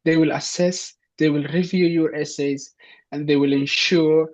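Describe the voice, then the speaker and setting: A man's voice: on the high side, fairly even intonation, measured pace. Man from Somalia, filler-heavy talk. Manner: hesitant, many fillers and restarts. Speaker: presenter. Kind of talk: informational talk. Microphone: video call. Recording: dull lo-fi online session.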